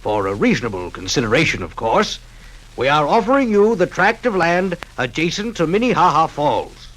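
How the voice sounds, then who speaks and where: A pompous male civic delegate in a 1950s radio drama. His speech is formal and halting, in a fairly high voice with lively rises and falls. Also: rough recording. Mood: formal, persuasive.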